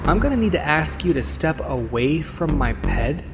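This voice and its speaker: A man's voice: on the high side, sing-song, normal speed, pitched above the performer's own voice. Man as a jaded cyberpunk courier over music. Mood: wry, wary. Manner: world-weary, sardonic. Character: jaded, wry cyberpunk courier, cynical and gritty. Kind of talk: first-person noir-style audio drama narration.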